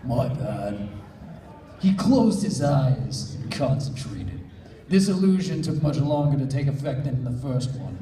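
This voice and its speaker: Animated man reading in an echoey room. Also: mid-range voice, unhurried. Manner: interjecting, playful asides. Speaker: panel host and story reader. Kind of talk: comic live fan fiction reading with banter. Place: convention panel room. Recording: echoey room, distant mic.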